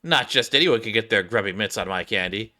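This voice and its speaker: Man acting a self-proclaimed villain girl, brash, boastful, indignant, bright forceful voice, game dialogue. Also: lowish voice, animated, brisk. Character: boastful, easily exasperated self-proclaimed young female villain. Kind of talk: game cutscene read-aloud.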